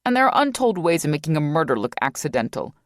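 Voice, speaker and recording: A woman's voice: deep voice, big pitch swings, fast talker, dry recording, studio quality. A woman narrator voicing a male computer specialist who speaks matter-of-factly. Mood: dry. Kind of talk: audiobook character voice.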